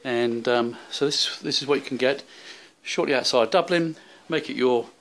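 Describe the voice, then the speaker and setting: A man's voice: medium pitch, normal speed, a bit bright. Rambling man filming a hotel tour. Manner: rambling, many fillers and restarts. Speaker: travel vlogger. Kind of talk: handheld walking tour narration. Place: hotel rooms and seaside street. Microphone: handheld camera.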